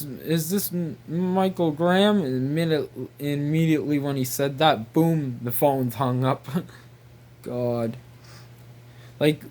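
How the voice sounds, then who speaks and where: A man rambling casually in a halting, repetitive, incredulous way. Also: on the high side, darkish tone. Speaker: video creator.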